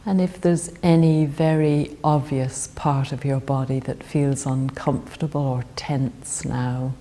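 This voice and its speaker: A woman guides a body scan meditation. Her voice is low and mellow, and she speaks very slowly and gently, leaving calm pauses between phrases. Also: a little hiss or hum.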